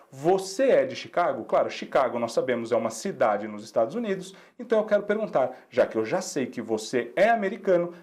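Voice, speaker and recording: A Brazilian man teaches English in a friendly lesson, his first language being Portuguese. His voice sits a bit high for a man and swings up and down with animated energy. He walks through exercises step by step, repeating phrases slowly so students can copy them. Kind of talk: classroom lesson explaining exercises.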